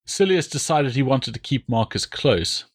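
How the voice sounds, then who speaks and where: A male audiobook narrator reads historical fiction at a middle pitch. The delivery is scripted storytelling, moving through scene and description. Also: lively intonation, normal speed, husky.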